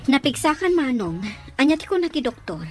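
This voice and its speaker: A woman's voice: high voice, very animated, fairly quick, soft background music. A woman acting a concerned younger sister in a radio drama, earnest and urging.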